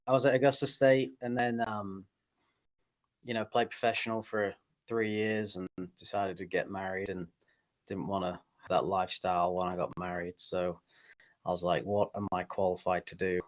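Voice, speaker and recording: A man in his thirties from England, a golf coach, chatting casually as a podcast guest in a laid-back, halting way. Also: deep voice, little pitch movement. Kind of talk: casual interview chat.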